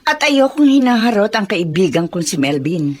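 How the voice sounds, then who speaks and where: Stern, lively-pitched lady boss. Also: normal speed. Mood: stern, frank. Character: stern, possessive, frank female restaurant boss. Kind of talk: Filipino radio drama acting.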